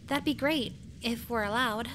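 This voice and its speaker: A woman's voice: on the high side, unhurried, a bit bright, slight background noise. A woman playing a frightened young woman in a radio play, anxious and faltering. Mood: subdued, anxious. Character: shaken, frightened young woman at crime scene. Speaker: actress in amateur college radio play.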